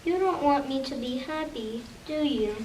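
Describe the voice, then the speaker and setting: A young girl playing an excitable, stubborn, pleading pigeon in a school reader's theater. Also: sits high, normal speed. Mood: demanding. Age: child. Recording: roomy, distant mic with some noise.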